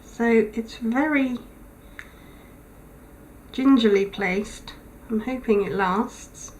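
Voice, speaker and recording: A tired woman recording a casual video diary, her voice thin and weak, talking haltingly with many pauses. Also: fairly high pitch, darkish tone, slight background noise, rough recording. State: tired, low on energy. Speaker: vlogger. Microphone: camera mic.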